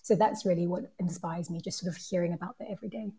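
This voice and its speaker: Woman on a podcast panel, lowish mellow voice, explaining what she does. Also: steady tone, fast, a little hiss or hum.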